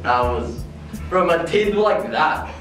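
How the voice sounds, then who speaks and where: A man's voice: fairly high pitch, expressive, measured pace, heavy reverb, far from the mic, over music. A teenage boy in a casual interview, joking and blunt about how he has changed. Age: teens.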